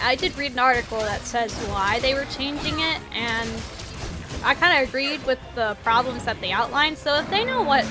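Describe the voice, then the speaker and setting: A woman with a gravelly voice, chatting casually and a little self-deprecatingly while she plays a video game. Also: a little high, noisy background. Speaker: gaming streamer. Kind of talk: casual commentary while playing. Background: game music.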